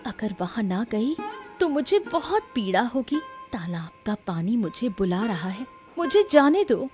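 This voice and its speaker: A woman's voice: a little high, big pitch swings, measured pace, darkish tone, faint music behind. A woman voicing a distressed young daughter in a cartoon story, plaintive and insistent. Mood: pleading. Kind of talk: animated moral story dramatization.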